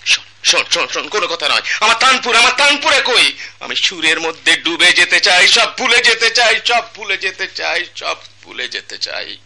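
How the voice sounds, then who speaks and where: A man's voice: high-pitched, very animated, moderate pace, gravelly, exaggerated voice. A Bangladeshi man playing a stern, embittered music master and father in theatrical radio drama, speaking emphatically and repeating his phrases. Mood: anguished.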